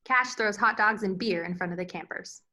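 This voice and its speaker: A woman narrates a screenplay's stage directions. Her voice is crisp-edged and bright, sitting in the middle of the female range. The delivery is scripted narration. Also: mid-range voice, normal speed.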